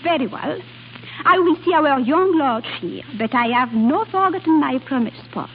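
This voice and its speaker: High-voiced, playful young Frenchwoman. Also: animated. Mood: wistful, insistent. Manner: halting English mixed with French exclamations. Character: playful, impulsive young Frenchwoman posing as an aristocrat. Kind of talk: 1930s-40s radio drama acting. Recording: tinny, hissy old radio broadcast.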